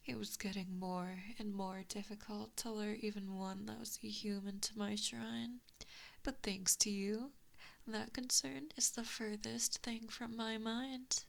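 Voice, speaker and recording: A woman voicing an evil, possessive giant fox spirit in a slow ASMR roleplay, whispering softly and breathily with mockingly sweet menace, close to a binaural mic. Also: mid-range voice, flat delivery, vocal fry. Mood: teasing, patronizing. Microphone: close binaural microphone.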